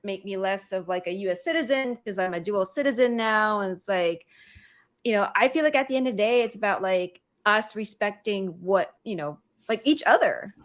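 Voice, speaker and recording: A woman's voice: mid-range voice, normal speed. A woman from the Philippines co-hosting a podcast, talking casually and a bit hesitantly. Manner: easygoing, hesitant with many "um" and "you know". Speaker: podcast co-host.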